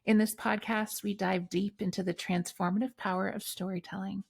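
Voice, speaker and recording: A woman's voice: mid-range voice, little pitch movement, moderate pace, gravelly, breathy edge, no room sound. A woman hosting a podcast, delivering scripted introductions and questions to her guest. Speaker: podcast host.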